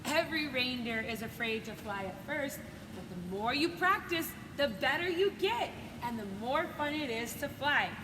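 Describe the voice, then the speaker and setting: A woman's voice: high-pitched, lively intonation, normal speed. A woman voicing an eager, encouraging young reindeer in a children's storybook, upbeat and coaxing. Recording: echoey noisy room.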